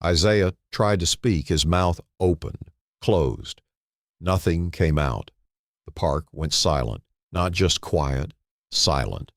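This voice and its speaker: A man narrating a dramatic story in a solemn, deliberate way, in short phrases with dramatic pauses. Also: sits low, animated, creaky, no room sound, studio quality.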